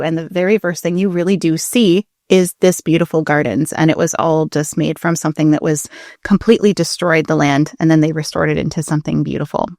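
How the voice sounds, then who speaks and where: Female podcast co-host, lower register, chatty and informal explainer, dry close recording. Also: no echo. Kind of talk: casual explanatory podcast talk.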